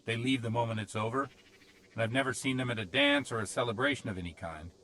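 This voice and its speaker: An actor playing a cautious, protective older village priest in a video game, speaking plainly and earnestly. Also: male voice, medium pitch, fast talker, bright, forceful tone, close to an everyday voice. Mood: reflective. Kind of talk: video game cutscene acting. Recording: music behind the voice.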